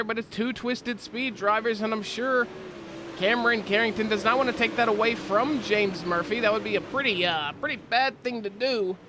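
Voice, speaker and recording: Excitable young man calling a race. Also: background noise. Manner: excitable, rising pitch at close battles. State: stuffy nose from a cold. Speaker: race commentator. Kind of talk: sim racing play-by-play commentary.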